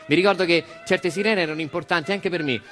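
Retired Roman factory worker, brisk stage storyteller, colloquial refrains, faint music behind. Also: male voice, high voice, a bit bright. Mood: nostalgic. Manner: colloquial, repetitive refrains addressed to his mother. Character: retired Italian factory worker recounting his life to mother. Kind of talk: theatrical monologue storytelling.

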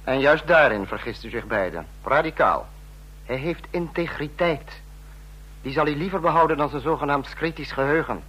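A man's voice: fairly high pitch, lively intonation, normal speed, fairly bright tone, breathy edge, low-quality recording. A man acting in a Dutch radio play as a stern, contemptuous commander with a cutting, threatening manner. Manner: cutting, sarcastic, authoritarian. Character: stern, contemptuous, menacing commander of a fascist state.

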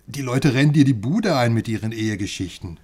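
A man's voice: mid-range voice, animated, fairly quick, darkish tone, breathy edge. An actor playing a chatty, cheeky male friend and curious outsider, teasing and conspiratorial, in a radio crime drama. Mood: eager, persuasive.

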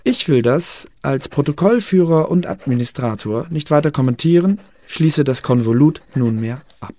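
A man's voice: fairly high pitch, expressive, normal speed, dark tone, natural voice. A male actor in a radio play as an administrator and record keeper, formally reading an official report in a dry, bureaucratic manner. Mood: formal, detached.

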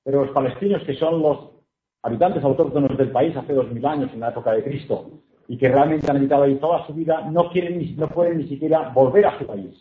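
A man's voice: mid-range voice, quick pace. Spanish male interpreter in an echoey hall. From Spain. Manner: paraphrasing each phrase into Spanish, with frequent hesitations. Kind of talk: consecutive interpreting at a book presentation. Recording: echoey hall, tinny phone-like sound.

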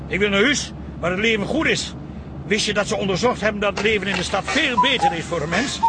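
A high-pitched, sarcastic man rants resentfully in a radio drama, full of scorn for country life. There is clear noise behind him, like a moving vehicle. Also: animated, fast. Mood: stubborn, scornful. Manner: ranting, sarcastic.

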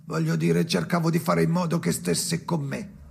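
An actor playing a brooding man haunted by his dead father in an experimental radio drama, theatrical and volatile, moving between muttering and pleading, with a gravelly voice. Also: a little high, little pitch movement, moderate pace. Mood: troubled, regretful.

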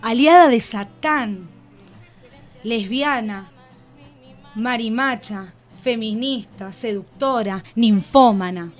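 A woman's voice: a little high, slow pace, faint music behind. An actress playing a wounded, bitter and defiant enslaved everywoman, reciting emphatically in poetic radio theatre. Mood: anguished, emphatic. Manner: solemn, emphatic poetic listing.

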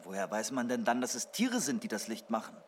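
A man's voice: mid-range voice, very animated, a little fast, slight background noise, natural voice. An actor playing a polite, inquisitive, somewhat gloomy male assistant in a German radio drama. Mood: puzzled. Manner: polite, questioning, formal.

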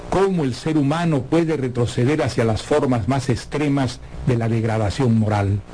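A man presenting a scripted literary introduction in a measured, formal, erudite way. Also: medium pitch.

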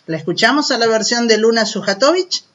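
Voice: medium pitch, lively intonation, brisk, lo-fi sound, over music. An Argentine radio host with an explanatory, didactic way of talking, addressing young listeners directly. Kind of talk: children's radio programme, explanatory narration.

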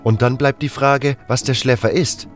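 A man's voice: mid-range voice, fairly even intonation, normal speed, voice pushed up, natural voice. A man voicing a learned, brooding mage's apprentice in an audio drama, reasoning step by step. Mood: sceptical, lecturing. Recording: music under the voice.

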